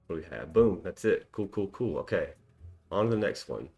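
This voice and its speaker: A man with a deep, low voice talks casually through a screen-recorded tutorial, thinking out loud and full of ums and quick chuckles. Faint music plays softly behind him. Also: sing-song, creaky, slightly rough. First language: English. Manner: chatty and self-deprecating, lots of ums and small laughs. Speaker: cybersecurity tutorial host.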